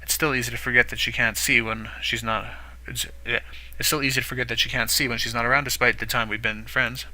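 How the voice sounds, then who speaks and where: A man's voice: mid-range voice, level tone, pushed and bright, poor audio. A man reading a visual novel aloud as a let's play commentator, often stopping to correct himself. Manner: quick narration with frequent self-corrections.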